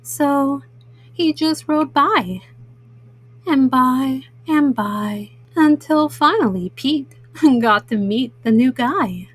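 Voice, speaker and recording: Slow, husky woman reading bedtime stories. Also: high-pitched, expressive, dry recording. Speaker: children's storyteller, host. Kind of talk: bedtime story read-aloud.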